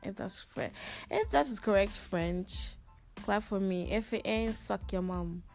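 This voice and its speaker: A woman's voice: medium pitch, a little slow, gravelly, a little mellow, soft background music. A woman in her twenties hosting a casual solo podcast in a sassy, slangy, theatrically complaining way, as if venting to her listeners. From Ghana, lived there six plus years. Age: 20s. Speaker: podcast host.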